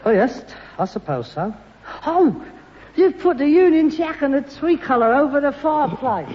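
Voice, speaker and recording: An actor playing a put-upon, pretentious London son, hesitant, stammering and easily indignant, in British sitcom style. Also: male voice, extremely high pitch, sing-song, moderate pace. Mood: awkward, polite. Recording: muffled, noisy archive recording, echoey hall.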